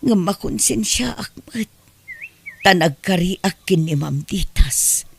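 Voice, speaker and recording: Woman acting a gentle, ailing old mother. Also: fairly low pitch, expressive, slow pace, full character voice. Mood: anxious, guilty. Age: older. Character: ailing, gentle elderly mother burdened by a guilty secret. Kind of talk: Ilocano audio drama acting. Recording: clear background noise with animal sounds.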